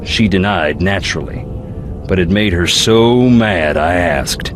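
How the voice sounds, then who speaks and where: Folksy, defiant man, low-voiced. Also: unhurried, background noise. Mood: wry, resentful. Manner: folksy, plain-spoken storytelling. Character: aggrieved, rough-edged young Southern man, defiant ex-convict. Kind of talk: video game character dialogue.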